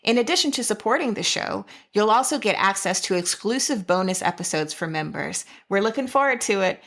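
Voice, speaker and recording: A woman's voice: mid-range voice, expressive, fairly quick, a bit bright. An American woman co-hosting a podcast, talking about books in an effusive, emphatic way. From Jacksonville, Florida, USA. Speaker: podcast co-host. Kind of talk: casual literary chat.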